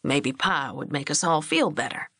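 A woman voicing a gentle, evasive young husband and father in a storybook, in a subdued manner. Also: sits low, moderate pace, slightly rough, no room sound, clean studio sound, pitched below the performer's own voice, exaggerated voice.

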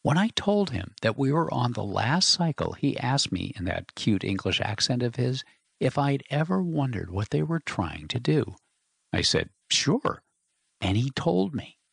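A man's voice: medium pitch, big pitch swings, unhurried, creaky, slightly rough, no room sound, clean studio sound, pitched above the performer's own voice, exaggerated voice. A man voicing a worried young engineer who talks urgently and uneasily. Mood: urgent, uneasy.

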